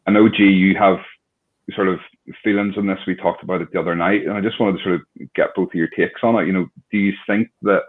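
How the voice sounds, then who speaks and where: A man's voice: deep voice, fairly even intonation, quick pace. A man hosting an online fan talk show, chatting casually and rambling a little, with frequent restarts. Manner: friendly, rambling, restarts often. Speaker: host. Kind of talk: casual chat. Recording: tinny phone-like line.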